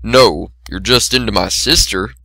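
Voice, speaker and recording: Man acting a flustered, bright-voiced farm stallion. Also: fairly low pitch, measured pace, gravelly, no room sound, full character voice, rough recording. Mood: exasperated. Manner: exasperated, pleading. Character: flustered, grumbling big male farm stallion.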